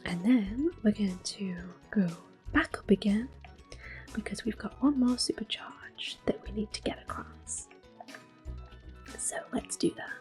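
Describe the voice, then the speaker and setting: A woman giving soft-spoken, often whispered ASMR commentary on a video game, in a gentle, hushed manner. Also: medium pitch, a little slow, faint music behind. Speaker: ASMR gaming host.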